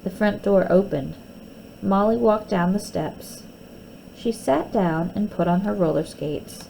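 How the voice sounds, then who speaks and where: A woman's voice: mid-range voice, unhurried, gravelly, mellow, slight background noise, low-quality recording. A woman reading a children's storybook aloud as narrator. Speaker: storybook reader.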